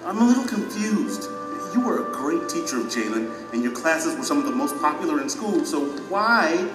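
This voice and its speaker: A proud former mayor who is bewildered and anxiously doubts himself. His highish voice swings widely between incredulity and hesitation, echoing in a large hall with music behind, as in an audio drama. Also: very animated, moderate pace, far from the mic. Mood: confused disbelief. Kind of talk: allegorical audio drama acting.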